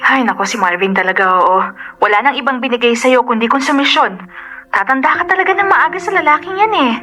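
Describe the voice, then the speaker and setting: A woman's voice: high-pitched, a little fast, bright, forceful tone. A woman playing a protective, fretful, outspoken older sister in a radio drama, fussing expressively. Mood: concerned, scolding. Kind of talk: Tagalog radio drama acting.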